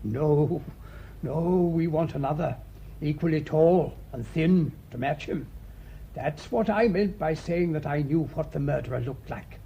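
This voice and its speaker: A British man acting a thoughtful, shrewd priest sleuth in a radio mystery, speaking in a measured, deliberate way. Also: creaky. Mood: shrewd, assured. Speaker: actor in the lead detective role. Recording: muffled radio recording with faint rumbling noise.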